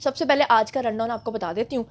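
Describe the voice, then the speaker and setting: A young Pakistani woman with a Pakistani Urdu accent hosting a casual news roundup for her channel, talking slowly and carefully because of a sore throat. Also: a little high. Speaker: news show host.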